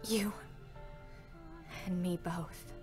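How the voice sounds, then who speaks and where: A woman playing a duty-bound, inwardly anguished android soldier in a dramatic reading, restrained and halting. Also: on the low side, steady tone. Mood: quietly bitter. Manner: restrained, halting, emotionally weighted. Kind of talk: dramatic stage reading of a game story. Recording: prominent music behind the voice.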